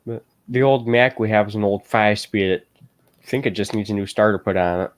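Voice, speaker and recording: A man's voice: lowish voice, fairly even intonation, normal speed. A young man, a student in an ag class, talking casually as a livestream guest, with laid-back storytelling and short agreeing replies.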